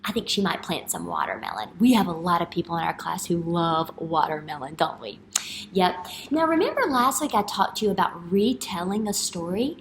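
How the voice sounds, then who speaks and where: A woman in her thirties, an elementary teacher reading a picture book aloud and putting questions to young children in an expressive way. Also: on the low side, fairly quick. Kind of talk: children's read-aloud and retelling lesson. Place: indoor classroom. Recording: clear background room noise.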